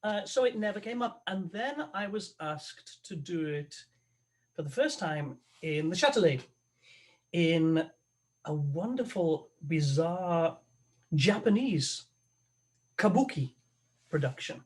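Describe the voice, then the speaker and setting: A man, an opera tenor, talking as a guest on a video call, halting and thoughtful with many restarts. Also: high-pitched, expressive. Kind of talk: conversational interview over a video call.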